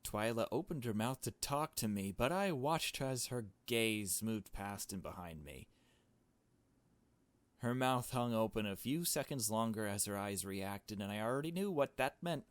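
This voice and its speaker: Low-pitched man reading a game story. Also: lively intonation, unhurried, no room sound. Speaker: video game player reading aloud. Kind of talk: dramatic read-aloud narration of visual novel, with commentary.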